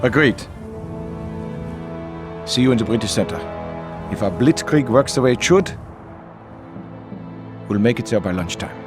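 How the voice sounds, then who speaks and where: An actor playing a brash, sardonic officer in a video game. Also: male voice, mid-range voice, animated, unhurried, long pauses, over music, lightly acted. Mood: amused. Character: brash, unsentimental German Afrika Korps officer, jovially sardonic. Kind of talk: video game cutscene acting.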